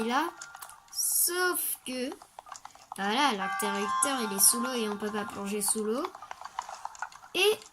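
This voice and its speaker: Slow-talking woman with a cold. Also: on the high side, low-quality recording. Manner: chatty, hesitant, many ums and restarts. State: has a cold. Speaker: let's play host. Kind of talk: casual gameplay commentary. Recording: game music loud behind the voice.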